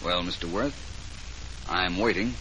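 A composed private detective from a 1940s radio mystery. His voice sits low and his delivery is measured, wry and urbane. The old broadcast recording is clearly noisy. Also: sharp tone. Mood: firm, probing. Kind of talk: 1940s radio drama acting.

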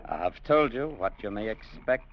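An actor playing a stern, principled adventurer who narrates an old radio drama in a measured, deliberate way. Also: male voice, lowish voice, animated, a little hiss or hum. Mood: defiant. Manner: measured, deliberate, grimly resolute. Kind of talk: 1940s-50s radio drama narration and acting. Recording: muffled old radio recording with music behind.

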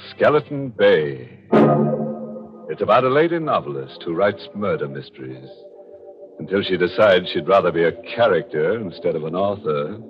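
A man hosting a 1940s radio horror show in a macabre, tongue-in-cheek manner, on a dull old recording. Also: deep voice. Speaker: host.

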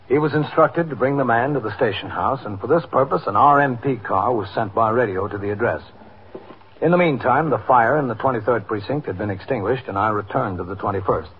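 A man's voice: mid-range voice, lightly acted. An actor playing a matter-of-fact male police captain and narrator in a 1950s radio drama, formal and procedural. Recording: noisy, tinny 1950s radio recording.

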